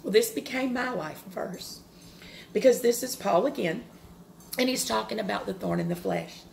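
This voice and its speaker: A woman in her forties with a loud, carrying voice, giving a personal devotional talk, earnest and open, with pauses and restarts. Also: mid-range voice, huge pitch swings, vocal fry, slight background noise. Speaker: devotional speaker.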